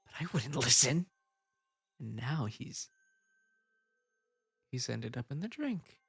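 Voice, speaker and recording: A man voicing an unhinged, possessive male bunny spirit in an audio roleplay, coaxing softly and breaking into giggles. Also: mid-range voice, very animated, slow pace, gravelly, slightly rough, full character voice. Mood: wounded. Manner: soft coaxing delivery broken by giggles and sudden outbursts.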